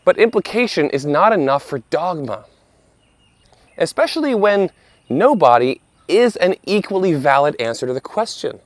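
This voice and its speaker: A man in his thirties, slightly hoarse from a lingering cough, giving an earnest, conversational commentary with playful asides as host of his video channel. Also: on the high side, sing-song, normal speed, dry recording. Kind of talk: casual scripted commentary.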